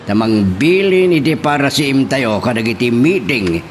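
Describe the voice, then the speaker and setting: A man's voice: medium pitch, animated, normal speed, background noise, natural voice. A man playing a plotting father instructing his daughter in an Ilocano drama. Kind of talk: Ilocano radio-style drama acting.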